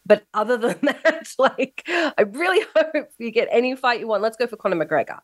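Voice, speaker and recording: Woman radio host, hoarse voice, playful, teasing, dry close sound. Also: a little high, animated, normal speed, no room sound. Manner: playful, teasing, laughs often. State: losing her voice, hoarse. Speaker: talk show host. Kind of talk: casual radio chat.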